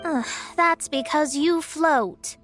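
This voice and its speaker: Game role: proud young female astrologer, high-pitched, pompous and theatrical. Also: slow, fairly bright tone, faint music behind. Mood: weary, huffy. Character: proud young female astrologer, haughty yet easily flustered. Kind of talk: video game character acting.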